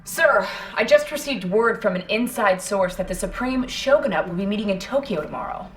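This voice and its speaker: A woman's voice: medium pitch, expressive, fairly quick, a little hiss or hum, lightly acted. A woman playing a no-nonsense military officer in a video game cutscene, direct and businesslike. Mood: urgent. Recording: distant, faint music behind.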